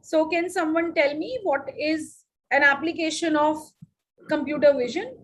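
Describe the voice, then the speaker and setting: Middle-aged Indian woman trainer, high voice, lively, quizzes her audience. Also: normal speed. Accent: Indian English. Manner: lively, addresses the audience with questions. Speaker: teacher trainer. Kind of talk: live teacher workshop.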